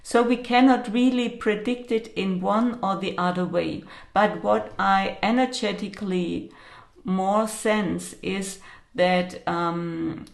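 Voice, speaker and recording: A woman speaking English as a second language, calmly explaining astrology in a slow, hesitant monologue with many pauses and fillers. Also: fairly low pitch, creaky, roomy sound. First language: not English.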